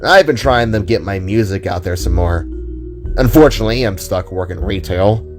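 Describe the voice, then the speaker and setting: A friendly young musician played by a male narrator in an audio drama, low-voiced and easygoing. He muses aloud with varied intonation over background music. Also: lively intonation, moderate pace, a little mellow. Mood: wistful. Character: friendly young man, thoughtful aspiring cellist in retail.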